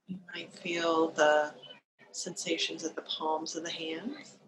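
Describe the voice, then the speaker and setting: A woman leading a guided meditation as a teacher, speaking in a calm, gentle, unhurried manner with long pauses, over a video call. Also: low-pitched. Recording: noisy live video-call recording.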